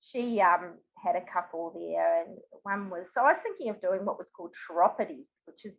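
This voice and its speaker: A woman's voice: lowish voice, animated, brisk, creaky. Chatty New Zealand woman, slightly muffled sound. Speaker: podcast guest. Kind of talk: casual interview storytelling.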